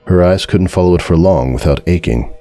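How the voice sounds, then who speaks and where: Casting: male narrator, profoundly deep, solemn dramatic storyteller, faint music underneath. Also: fairly quick, mellow. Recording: music behind the voice.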